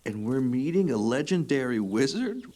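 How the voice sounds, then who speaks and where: A man's voice: medium pitch, sing-song, normal speed, warm tone, lightly acted. An actor playing a nerdy teenage boy who asks earnest, curious questions. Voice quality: earnest and inquisitive. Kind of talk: audio drama acting.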